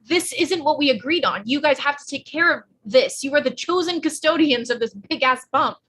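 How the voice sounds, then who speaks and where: A woman's voice: high voice, lively intonation, normal speed, fairly bright tone. A woman playing a blunt, slangy, sarcastic sixteen-year-old pregnant girl in a live screenplay read, earnest underneath. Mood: alarmed, insistent. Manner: slangy, flippant, emphatic.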